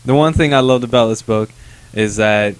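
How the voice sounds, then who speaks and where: A man with an average-pitched voice co-hosts a casual review chat. He weighs things in a measured way, using loose, slangy wording. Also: smooth, no echo. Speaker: co-host of a comic book review show.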